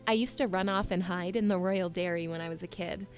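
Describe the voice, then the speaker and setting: A woman's voice: on the low side, steady tone, a little fast, soft background music, lightly acted. Woman acting a frank, plucky young princess. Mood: brisk, reassuring. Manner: casual, blunt, teasing. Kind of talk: audio drama acting.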